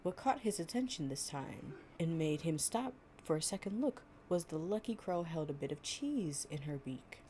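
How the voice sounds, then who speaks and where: A woman's voice: low-pitched, sing-song, moderate pace, hardly any pauses, dark tone, slight background noise. A woman performing a storytime audio roleplay, reading fables and chatting informally and playfully. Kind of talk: gentle storytime reading with improvised casual chat.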